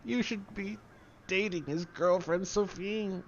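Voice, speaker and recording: A man voicing a goofy, excitable sidekick with exaggerated, drawn-out comic exclamations in a dramatic comedy reading. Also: high voice, muffled recording, slight background noise, voice pushed up. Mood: dying, pleading.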